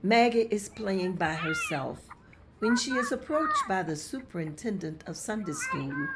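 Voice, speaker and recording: A woman narrating a script at a table read, reading scene directions in a plain, steady way. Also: mid-range voice, measured pace, very noisy.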